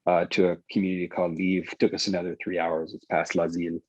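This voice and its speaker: Panel guest, male, husky and fairly low, halting conversational delivery, dull muffled audio. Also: monotone, no room sound. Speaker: panel guest, relief worker. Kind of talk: casual conversational account.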